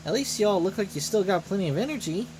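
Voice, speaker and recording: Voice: medium pitch, lively intonation, fast, darkish tone, slight background noise, lightly acted. Performer putting on a playful, childish giant girl. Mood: sleepy, bored. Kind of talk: voiced game dialogue. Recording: music behind the voice.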